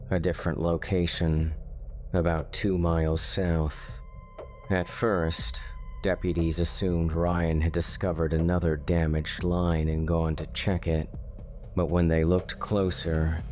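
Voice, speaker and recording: A man narrating a dark true-style mystery story in a slow, measured, ominous way. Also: deep voice. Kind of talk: scripted horror narration. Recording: faint music underneath.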